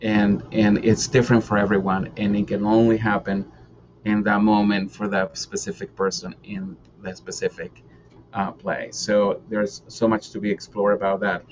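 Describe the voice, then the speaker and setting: A man's voice: on the low side, flat delivery, normal speed. A man moderating a post-show discussion, recorded over an online video call. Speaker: panel moderator. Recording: dull video call with background noise.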